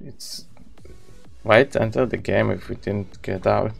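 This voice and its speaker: A man's voice: on the low side, fairly even intonation, unhurried, vocal fry, soft background music. A man in his thirties with an Israeli accent, a native Hebrew speaker, chatting casually with viewers as he live-streams a video game.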